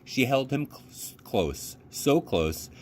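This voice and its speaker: Expressive male storyteller with animated pitch. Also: takes it slowly, slight background noise. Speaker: library storytime host reading aloud. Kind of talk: expressive children's book read-aloud.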